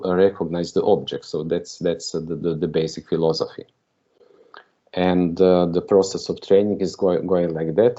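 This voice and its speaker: A man's voice: sits low, warm tone. A man from Croatia with a Croatian accent gives a conference keynote over a video call, hesitant and full of filler sounds. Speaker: keynote speaker. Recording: dull lo-fi video call audio.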